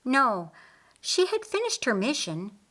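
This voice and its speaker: A woman voicing a kindly, earnest male master of a household in an audiobook, speaking in a measured, formal way. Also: high voice, huge pitch swings, fairly bright tone, voice pushed up, exaggerated voice. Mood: reflective.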